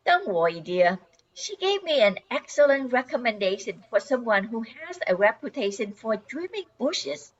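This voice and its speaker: A woman's voice: a little high, sing-song, moderate pace. An older woman playing a confident, polite suburban wife in her thirties in an amateur radio play. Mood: composed, sly. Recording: dull lo-fi sound.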